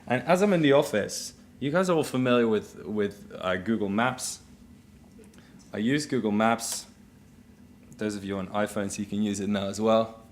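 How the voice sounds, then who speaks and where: A man with a Dutch accent giving a conference keynote in a relaxed, conversational way while walking through a live demo. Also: on the low side, moderate pace, a little hiss or hum. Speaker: keynote speaker. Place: conference stage.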